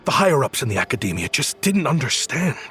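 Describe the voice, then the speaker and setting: An actor playing an earnest, slightly awkward exiled scholar and inventor in a video game, with a wordy way of talking. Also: male voice, medium pitch, sing-song, fast talker, a bit bright, soft background music. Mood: excited, proud. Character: eager exiled male scholar, weapons-obsessed inventor, earnest.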